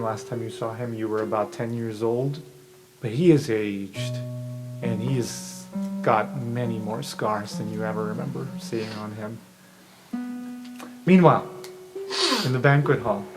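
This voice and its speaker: A man running a tabletop game as game master, describing scenes in a relaxed, casual way. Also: medium pitch, poor audio.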